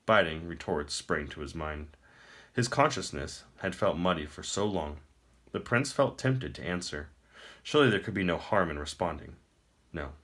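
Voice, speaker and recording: Very deep-voiced man reading a fantasy novel. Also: fairly bright tone. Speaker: audiobook-style reader. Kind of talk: scripted dramatic narration of a fantasy novel.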